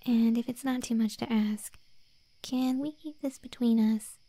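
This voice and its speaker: A woman playing a protective gargoyle monster girl in an ASMR roleplay, speaking softly and breathily, close to the microphone. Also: a little high, steady tone, unhurried, no echo. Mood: surprised, apologetic. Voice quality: soft, breathy, whispery. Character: protective gargoyle monster girl guard, stern then sweetly deferential. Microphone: close microphone, dry.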